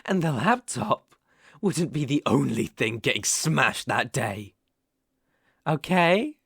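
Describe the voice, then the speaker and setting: A man performs a possessive young British boyfriend in an intimate ASMR audio drama. His speech is slow and deliberate, with a creaky edge and soft, coaxing menace. The sound is close and dry. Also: mid-range voice, sing-song, no echo, exaggerated voice. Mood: stern, threatening. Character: obsessive, possessive, menacing young boyfriend holding partner captive. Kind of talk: intimate ASMR roleplay acting.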